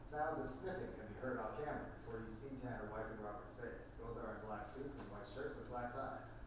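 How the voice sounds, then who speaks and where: A man's voice: mid-range voice, brisk. Man narrating a script, very echoey. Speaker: narrator reading stage directions. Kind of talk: scripted narration at a table read. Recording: very echoey room, distant mic, noisy.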